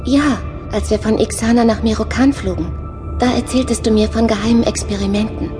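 A lonely female ship's computer, voiced by a woman in a radio drama, speaking in a soft, earnest, searching way with a fairly high voice. Music plays under her lines. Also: a little fast, close to an everyday voice. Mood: troubled. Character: female onboard computer, lonely, longing for a body. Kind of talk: audio drama acting.

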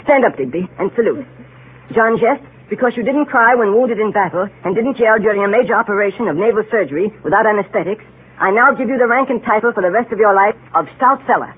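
A man's voice: high voice, expressive, fast talker, husky. An actor playing a bossy young English boy who leads his playmates with solemn, commanding formality in a 1930s radio drama. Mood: solemn, ceremonial. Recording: tinny, muffled 1930s radio broadcast.

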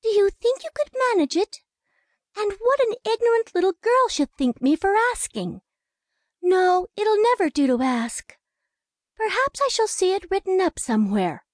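Young girl, storybook character, very high pitch, lively intonation, prim, chatty, musing to herself. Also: normal speed, dry recording, studio quality. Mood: self-scolding. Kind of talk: audiobook character acting.

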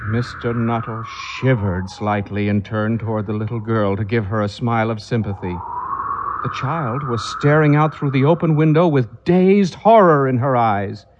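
A man telling a ghost story as a dramatic scripted narrator. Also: fairly high pitch. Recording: dull, muffled old record.

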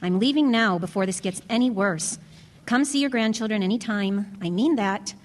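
A woman's voice: medium pitch, moderate pace, big-room sound, close to an everyday voice. A woman acting an exasperated, outspoken young pregnant daughter-in-law in a radio drama, with a sarcastic edge.

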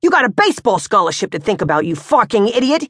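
A woman's voice: on the high side, expressive, a little slow, no room sound, studio quality, voice pushed up. Woman doing a raging blustery father. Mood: enraged yelling. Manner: shouting, cursing, explosive. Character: furious blustering middle-aged father, hot-tempered.

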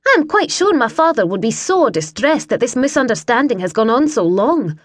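A woman's voice: on the high side, very animated, a little fast, no room sound, studio quality, pitched above the performer's own voice. A woman voicing an indignant young noblewoman in an audiobook, haughty and scolding. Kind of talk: audiobook character voice.

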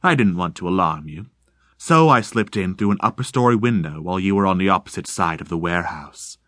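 A man reading aloud, voicing a mysterious unseen stranger in the fog who speaks slowly and formally in a deep, insubstantial voice. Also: lively intonation, a bit bright, no echo, studio quality. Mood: calm, menacing. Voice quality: deep and insubstantial, slow, measured.

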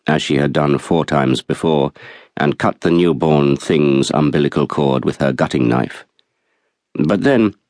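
A man reading a literary novel as an audiobook narrator, in a measured, articulate storytelling manner. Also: low voice, a little mellow, no echo, studio quality.

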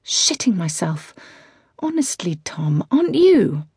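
A woman voicing a young female track cyclist, tense and volatile, her voice high and strained. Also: big pitch swings, slow pace, darkish tone, breathy edge, no echo, studio quality, full character voice. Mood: anxious.

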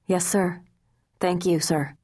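Woman doing a young boy soldier, earnest, polite, shy, lowish tone, dry. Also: steady tone, slow, dark tone, slightly rough, no room sound.

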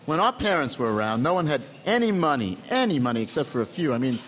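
A man's voice: mid-range voice, sing-song, fast, a bit bright, a little hiss or hum. A man playing a chatty, inquisitive diner talking with friends in a conversational radio play. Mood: reflective. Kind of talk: full-cast radio theatre, conversational.

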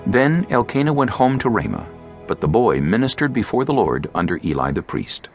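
Deep, expressive male scripture narrator. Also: moderate pace, soft background music.

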